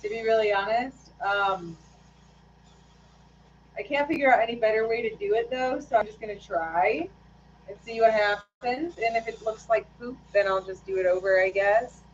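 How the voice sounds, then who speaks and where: A woman chatting casually on a live stream as she paints fishing lures, rambling and hesitant with lots of fillers, her voice a bit hoarse. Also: on the high side, moderate pace, lo-fi sound, slight background noise. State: slightly hoarse after losing her voice. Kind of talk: casual live-stream chat while working.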